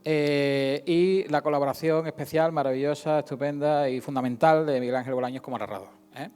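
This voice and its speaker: Halting male presenter in an echoey hall. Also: mid-range voice, darkish tone. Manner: hesitant, halting, repetitive. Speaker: event presenter. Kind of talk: informal stage interview. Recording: echoey hall with music behind.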